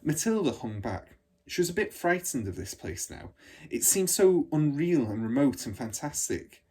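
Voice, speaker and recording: A man reading a children's storybook aloud like a teacher, in an expressive, measured storytelling manner. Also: a little high, breathy edge. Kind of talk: storybook narration.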